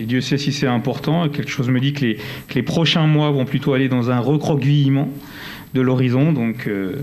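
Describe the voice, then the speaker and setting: A man's voice: mid-range voice, darkish tone. A man with a French accent presenting formally as a local councillor, partly improvised, in a wordy, digressive, self-correcting manner. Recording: faint background noise.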